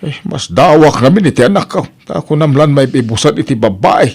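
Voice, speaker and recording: A man's voice: on the high side, animated, a little fast, a little mellow, husky. A man playing a stern, nagging old farmer father-in-law in an audio drama, scolding emphatically.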